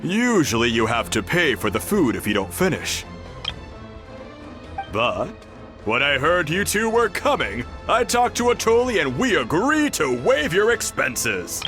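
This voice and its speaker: Upbeat male guardian, animated and warm-spoken, faint music behind. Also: fairly high pitch, measured pace. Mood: friendly. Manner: warm, grateful, lively storytelling. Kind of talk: game voice acting. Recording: game audio with faint music.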